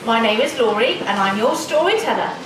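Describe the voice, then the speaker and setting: An exuberant, theatrical storyteller played by a woman greets children in a high, swooping panto voice. The sound is echoey and far off, with a loud crowd. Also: animated, fairly quick, background noise, natural voice. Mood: cheerful, rousing. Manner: theatrical, exaggerated, hearty. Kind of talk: children's pantomime stage presenting. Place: open-fronted theme park theatre stage. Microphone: camera far off in the audience. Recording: distant, echoey stage sound, crowd, music.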